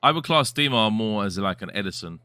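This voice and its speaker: A man's voice: fairly low pitch, lively intonation, fairly quick, pushed and bright, clean tone. A man co-hosting a live futsal stream, chatting casually and reading viewer comments aloud. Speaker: host and co-commentator.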